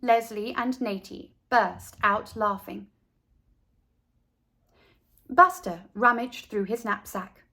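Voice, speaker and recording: A woman reading a picture book aloud for children, expressive and deliberate in her storytelling. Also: mid-range voice.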